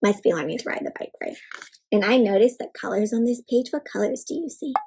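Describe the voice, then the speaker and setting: A woman's voice: mid-range voice, expressive, quick pace, vocal fry, noisy background. A woman hosting an interactive picture-book read-aloud, speaking expressively to children with exaggerated gasps and frequent questions.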